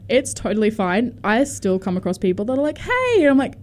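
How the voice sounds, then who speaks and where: A woman's voice: fairly high pitch, big pitch swings, a little fast, darkish tone. A young woman hosting a student radio show, chatting in a bubbly, giggly, rambling way. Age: young adult. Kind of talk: casual radio chat.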